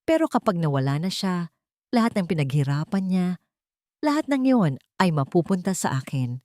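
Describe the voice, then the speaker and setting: A woman's voice: lowish voice, sing-song, normal speed, mellow, dry recording, studio quality. A woman voicing a vengeful, scheming fiancée in an audio love drama, taunting and menacing. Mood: bitter, menacing. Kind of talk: dramatic audio story acting.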